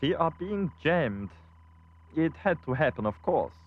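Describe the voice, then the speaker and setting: An actor playing a pompous, scheming cartoon villain with a precise, haughty manner. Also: male voice, on the high side, sing-song, unhurried, phone-quality sound. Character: pompous scheming villain with a cold, logical mind.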